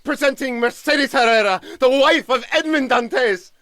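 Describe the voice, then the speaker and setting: An actor playing an exuberant, good-hearted young sailor in love in an audio drama, earnest and flowery. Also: male voice, very high-pitched, normal speed, a bit bright, no echo, clean studio sound.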